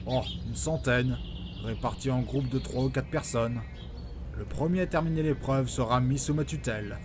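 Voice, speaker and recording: A proud master sage of a fantasy audio drama, acted by a man. He speaks with measured authority and a medium male pitch. Clear background ambience sits behind the voice. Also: background noise, lightly acted. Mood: matter-of-fact. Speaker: actor in amateur audio drama. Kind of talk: acted audio fiction dialogue.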